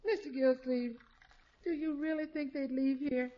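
Folksy housekeeper, high voice, deferential, hinting, muffled old radio comedy recording. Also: female voice, fairly even intonation, unhurried. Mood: coaxing. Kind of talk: 1950s radio situation comedy acting.

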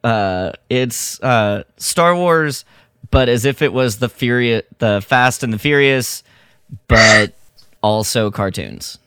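Male co-host, smooth voice, unhurried casual talk, close dry sound. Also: mid-range voice, a bit bright, no room sound. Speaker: podcast co-host. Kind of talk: casual fan podcast chat.

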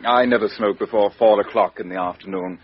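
A stuffy, pompous politician. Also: male voice, on the low side, brisk, breathy edge. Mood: stiff. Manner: stiff, indignant, pompous. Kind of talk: 1950s radio drama acting. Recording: dull old radio broadcast.

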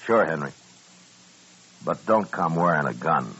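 An actor playing a steady, firm marshal of the Old West in a radio western, speaking in a measured, plain way. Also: male voice, rumbling low, breathy edge, full character voice. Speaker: radio drama lead actor and narrator. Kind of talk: 1950s radio western acting. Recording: dull old radio recording with music.

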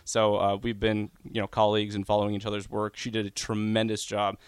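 A young man from Nebraska, a sports reporter, giving measured analysis as a guest on a radio show over a remote call. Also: fairly low pitch, monotone, smooth, dry recording. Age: young adult. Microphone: remote video call.

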